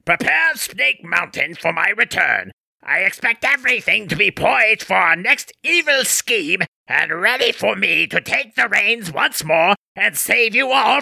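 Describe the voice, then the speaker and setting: A man playing an imperious, sneering villain overlord who berates his minions, pompous and theatrical, with a raspy, shrill voice. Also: big pitch swings, unhurried, sharp tone, no room sound, full character voice. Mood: pompous, scornful.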